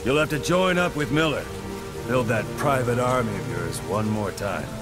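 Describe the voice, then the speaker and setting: Male actor as a blunt mercenary commander, matter-of-fact briefing, game cutscene with loud music. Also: medium pitch, lively intonation, moderate pace, close to an everyday voice. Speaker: commander giving a mission briefing. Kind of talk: video game cutscene acting.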